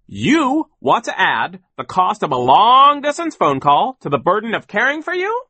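A man voicing a gruff, impatient male boss in an audiobook story, barking dismissively and emphatically. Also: sits high, wildly swooping pitch, normal speed, sharp tone, no echo, pitched above the performer's own voice, heavily acted. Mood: threatening.

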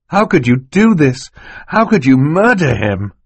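A man's voice: a little high, very animated, measured pace, husky, no room sound, voice pushed up, exaggerated voice. A man voicing an earnest, defiant young boy in an audiobook, frightened but brave, indignant and strained. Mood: horrified. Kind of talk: audiobook character voice.

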